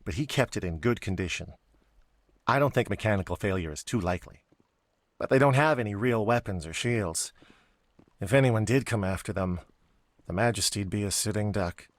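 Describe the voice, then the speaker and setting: A man's voice: lowish voice, moderate pace, no echo, natural voice. A man playing a worried, plain-spoken civilian in a video game, talking earnestly in an everyday manner. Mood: worried, hopeful. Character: worried working man seeking his missing brother.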